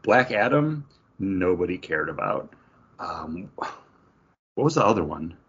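Male podcast co-host, husky and on the low side, dull lo-fi sound, casual chat. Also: sing-song, slow pace, fairly bright tone. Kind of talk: casual pop-culture chat.

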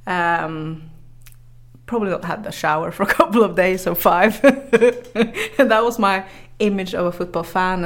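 A woman from Norway with a Norwegian accent, a journalist and author telling her story in an interview, chatty and quick to laugh. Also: fairly low pitch. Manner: chatty, laughs often, many fillers and restarts.

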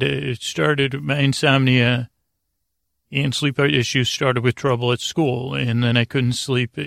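Rambling man with a creaky, flat delivery. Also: medium pitch, no room sound, clean studio sound. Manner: meandering, halting, trailing off mid-thought. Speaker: podcast host. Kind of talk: rambling bedtime sleep podcast monologue.

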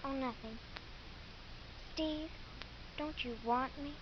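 Wry tough young daughter, high pitch, lively, radio acting, muffled recording. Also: female voice, takes it slowly, background noise. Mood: needling, hurt. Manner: sassy, colloquial. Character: wry, tough-talking little girl, devoted daughter. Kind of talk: 1950s radio drama acting.

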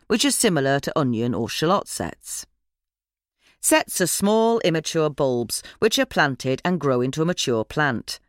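A narrator reading a gardening article aloud in a measured, instructional manner. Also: medium pitch, no room sound, clean studio sound.

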